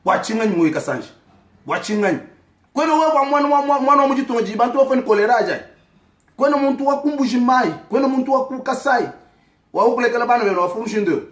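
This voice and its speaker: A man's voice: very high-pitched, lively intonation, fast talker, a bit bright. A man from Kasaï in DR Congo with a Congolese French accent, whose first language is Tshiluba, giving an impassioned political address to camera and calling people out by name. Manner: fiery, accusatory, calls out names directly. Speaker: vlogger addressing his community. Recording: echoey room.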